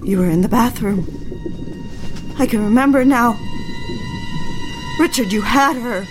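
An actress playing a fragile, haunted young wife in an audio drama, halting and anguished in a melodramatic way. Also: female voice, a little high, over music, lightly acted. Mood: horrified, accusing.

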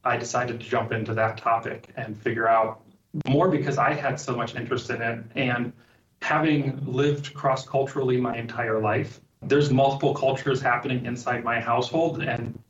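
A man's voice: mid-range voice, steady tone, moderate pace. A middle-aged man from Liberia, a podcast guest, answering thoughtfully and linking his ideas with "and so". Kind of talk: interview answers. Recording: distant, roomy, dull remote call audio.

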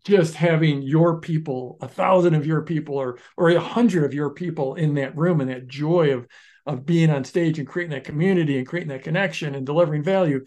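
A man co-hosting a business podcast, telling stories in a lively, restless way and restarting his sentences often. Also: fairly high pitch, a little fast. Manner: animated storyteller, restarts sentences, shifts pace often. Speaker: podcast co-host, online business founder. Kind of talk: casual podcast conversation.

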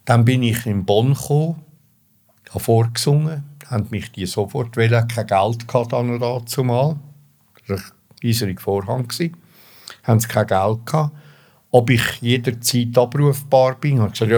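A man's voice: mid-range voice, darkish tone. An older man with a Swiss German accent tells his own story spontaneously, emphatically repeating words for stress. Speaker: interview guest telling his life story.

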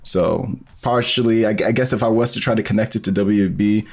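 A man's voice: lowish voice, fairly quick, creaky. A man speaking as an interview guest, explaining things informally and step by step with many hesitant fillers. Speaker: podcast guest, bioinformatics professional and storyteller. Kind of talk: casual explanatory interview talk.

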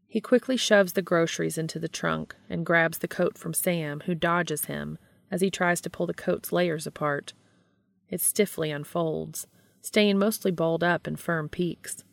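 A woman narrates a piece of fan fiction from a script. Her voice is low, with plenty of creak. The recording is close and dry, with hardly any room sound. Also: fairly even intonation, unhurried, no echo.

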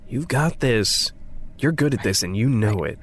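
A man's voice: medium pitch, lively intonation, moderate pace, echoey room, long reverb tail, a little hiss or hum, natural voice. A man playing a theatrical, playful, grandly silly and sardonic figure in an audio drama.

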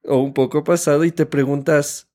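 Higher-voiced Ecuadorian man, podcast host. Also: steady tone, moderate pace, dark tone. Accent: Ecuadorian Spanish. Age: 30s. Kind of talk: conversational podcast chat.

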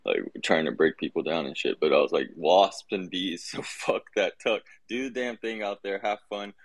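A man with a fairly low voice talks casually among friends. He is easygoing and slangy, dropping the odd swear. The sound is dry and free of room echo. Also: moderate pace. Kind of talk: casual group chat.

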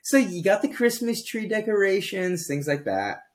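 A man's voice: high voice, sing-song, moderate pace. Man, early 30s, casual podcast chat, lots of fillers, easygoing. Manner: chatty, rambling with fillers. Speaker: podcast co-host.

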